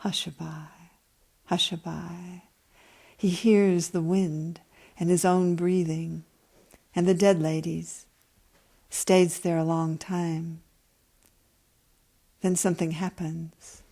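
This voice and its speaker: A woman novelist with a fairly low voice, speaking at a book event. She presents her own work to listeners in an author's unscripted, personal way. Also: flat delivery, slow pace, creaky, pauses often, dark tone, husky. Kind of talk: author reading aloud and answering questions.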